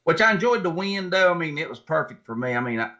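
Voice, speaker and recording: A man's voice: on the high side, very animated, fast talker, pushed and bright. A man with an American accent chatting casually as a guest. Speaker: podcast guest, kayak angler. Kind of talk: casual chat. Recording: slightly dull, muffled audio.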